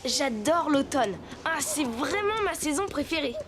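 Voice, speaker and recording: A woman playing a spirited, caring young boy in a French animated series. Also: high-pitched, a little slow, crowd noise. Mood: cheerful, musing. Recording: loud music bed behind voice.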